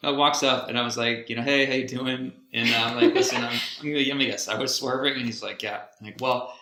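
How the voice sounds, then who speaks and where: A man co-hosting a podcast, telling stories casually in an animated, jokey, sometimes profane way. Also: medium pitch, quick pace, background noise. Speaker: podcast co-host. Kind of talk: casual conversational storytelling. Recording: roomy echo.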